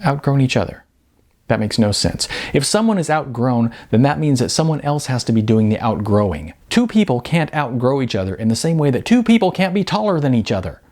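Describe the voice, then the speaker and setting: A man's voice: mid-range voice, sing-song, fairly quick, voice pushed up. A man voicing an indignant, incredulous young woman being dumped by her boyfriend, in an audiobook. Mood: incredulous, hurt. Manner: incredulous, pedantic, pressing with sharp questions. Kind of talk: audiobook character dialogue.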